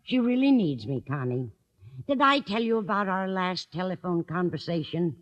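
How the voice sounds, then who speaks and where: A woman's voice: fairly low pitch, expressive, moderate pace, muffled recording, lightly acted. An actress playing a kindly, scatterbrained older landlady in a 1950s radio sitcom, fussy and sweetly oblivious.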